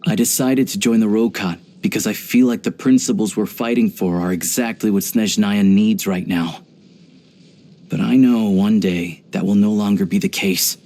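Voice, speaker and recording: A man voicing a video game character, an earnest, pragmatic young hunter and rifleman who talks in a measured, reflective way. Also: on the low side, fairly even intonation, dark tone, natural voice. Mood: grave, resolute.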